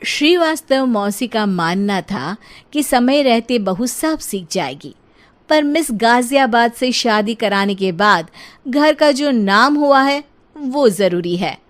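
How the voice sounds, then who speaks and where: A woman's voice: on the high side, expressive, slow pace. A woman narrator telling a scripted comic family tale. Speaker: story narrator.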